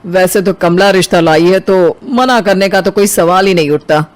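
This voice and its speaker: A woman's voice: on the low side, normal speed, close to an everyday voice. A woman voicing a persuasive, coaxing middle-aged mother in a Hindi cartoon. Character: coaxing middle-aged Indian mother, eager to see daughter married.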